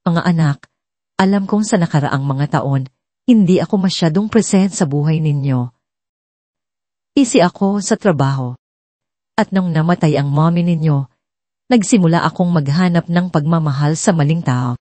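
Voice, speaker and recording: A woman voicing an earnest, humbled, loving widowed father in a dramatic story, speaking in a solemn, measured way. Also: deep voice, dark tone, no echo. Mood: solemn, tender.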